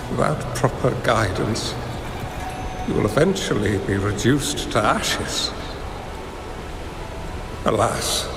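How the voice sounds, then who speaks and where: A man playing a calm, wise, gently stern old hermit master in a video game, speaking in measured, formal, philosophical phrases. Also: mid-range voice, creaky, noisy background. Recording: reverberant hall echo, music behind. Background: music.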